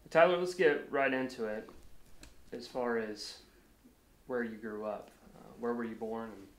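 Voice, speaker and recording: A man interviewing for an oral history, asking loosely phrased questions in a halting, conversational way. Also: medium pitch. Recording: echoey room with faint noise.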